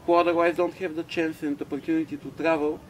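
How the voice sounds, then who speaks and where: Male interviewee from Bulgaria, measured and reflective, voice a bit high, faint hiss behind. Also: level tone. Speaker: NGO project coordinator. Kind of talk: conference interview answers.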